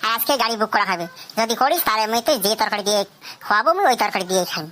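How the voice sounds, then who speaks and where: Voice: mid-range voice, animated, rapid-fire, sharp tone. A performer voicing a spoiled, stubborn teenage son in a comedy cartoon, whining and arguing in a North Bengal accent. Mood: demanding. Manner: whining, argumentative.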